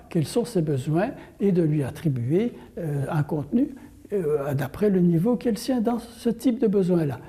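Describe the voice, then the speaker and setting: An older man answering interview questions with deliberate, detailed explanations, often rephrasing as he goes. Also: sits high, mellow. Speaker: interview guest, language course creator.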